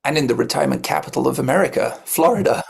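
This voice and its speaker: A man hosting a live online meditation session, introducing it and welcoming his co-hosts in a calm, measured way. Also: medium pitch, creaky, slightly rough. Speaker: host.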